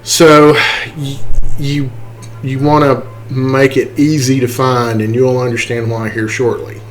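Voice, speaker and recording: Man giving a casual software tutorial. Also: mid-range voice, little pitch movement, measured pace, slight background noise. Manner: explanatory, often checking in with "okay" and "right". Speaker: tutorial instructor.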